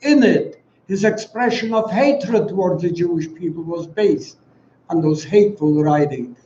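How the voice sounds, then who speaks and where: A man of about ninety with a Polish accent gives a prepared commemorative speech in a solemn, deliberate, formal way, with emphatic pauses. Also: sits high, expressive, mellow. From Poland. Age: around 90. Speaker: guest speaker, Holocaust survivor giving testimony. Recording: echoey, dull, distant, faint noise.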